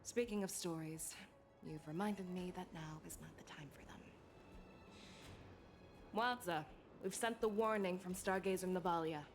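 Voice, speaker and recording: An actress playing a resolute commander in a video game, calm, measured and authoritative. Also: female voice, lowish voice, faint music behind. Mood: composed. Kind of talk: video game drama acting.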